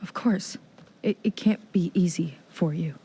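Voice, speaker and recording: Clear ambience sits behind a woman playing a young tribeswoman with a very mellow, dark-toned voice. She is soft and breathy, steadfast and tender, speaking intimately as in a game cutscene. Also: fairly low pitch, measured pace, a little hiss or hum, lightly acted. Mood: gentle, wistful. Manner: gentle, intimate, sincere. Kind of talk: naturalistic video game cutscene acting.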